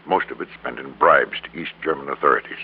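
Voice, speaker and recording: A middle-aged schemer at the end of his rope in a vintage radio drama. His very deep, creaky voice halts and repeats itself with resignation. The sound is crackly and narrow, like an old broadcast. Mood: rueful confession. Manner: halting, resigned, repeats words for emphasis. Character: defeated, greedy middle-aged businessman confessing to murder.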